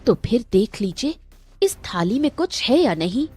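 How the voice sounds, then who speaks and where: Woman acting a sharp village eatery lady in a cartoon, slow, animated, bit husky. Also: fairly high pitch, faint music behind, voice pushed up, exaggerated voice. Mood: confident, challenging. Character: shrewd, bold village woman running an eatery.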